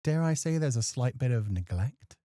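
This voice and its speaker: A man acting as a refined, scholarly club host in a flirty ASMR roleplay, speaking formally with playful, gentle chiding. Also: medium pitch, animated, moderate pace, no echo, clean studio sound, natural voice. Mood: gently chiding.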